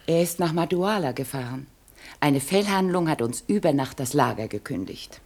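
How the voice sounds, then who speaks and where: An actress playing a formal, courteous and businesslike office clerk in a German radio play. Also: female voice, low-pitched, animated, normal speed, darkish tone. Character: polite, businesslike office clerk at a trading firm.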